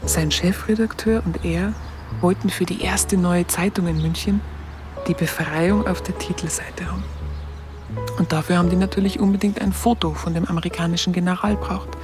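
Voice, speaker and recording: A woman's voice: on the low side, fairly even intonation, normal speed, music in the background, lightly acted. An actress playing a photographer recalling her wartime youth in a radio drama, with a Bavarian accent and a hesitant, colloquial, confiding way of telling it. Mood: reflective, confiding. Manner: hesitant, colloquial, reflective storytelling. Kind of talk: intimate first-person radio drama recollection.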